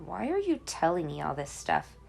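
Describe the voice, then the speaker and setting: Woman putting on grumpy, low-pitched storybook buddy Edgar. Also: wildly swooping pitch, moderate pace, vocal fry, darkish tone, exaggerated voice. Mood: grumpy, reluctant. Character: grumpy, nervous bee-hating best buddy Edgar in picture book.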